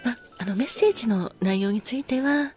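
A woman with a fairly high voice hosts a casual solo talk show over faint background music. She speaks courteously, full of gratitude, with a softly apologetic way of addressing her listeners. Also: brisk. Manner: polite, effusively grateful, softly apologetic. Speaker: podcast host.

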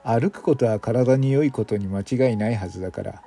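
A man's voice: mid-range voice, fairly quick, mellow, soft background music, close to an everyday voice. A man playing a retired older man in a radio drama, reflective and muttering in a colloquial way. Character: retired old man, weary and grumbling, reflective.

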